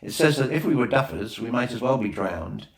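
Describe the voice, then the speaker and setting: A man's voice: medium pitch, animated, a little fast, vocal fry. A man voicing a keen young girl in a storybook, earnest and precise in the way of talking. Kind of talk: storybook character reading. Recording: roomy, echoing voice recording.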